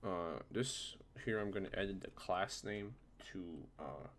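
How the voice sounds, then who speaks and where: A man presenting a software tutorial informally, often checking that the listener is following along. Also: low voice, unhurried, background noise. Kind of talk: casual screen-recorded software tutorial.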